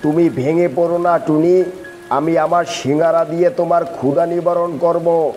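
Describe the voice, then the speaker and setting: A man voicing a kind, reassuring magical talking tree in a slow, soothing children's cartoon style. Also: fairly high pitch, steady tone, a little mellow, faint music behind, natural voice. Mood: gently reassuring. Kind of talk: slow children's fairy-tale cartoon dubbing.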